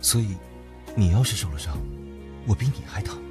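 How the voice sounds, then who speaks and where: A man acting a protective, tenderly possessive boyfriend in an audio drama, murmuring softly and intimately. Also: on the low side, animated, moderate pace, music in the background, lightly acted. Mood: tender reproach. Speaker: voice actor. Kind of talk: Chinese boys' love audio drama acting.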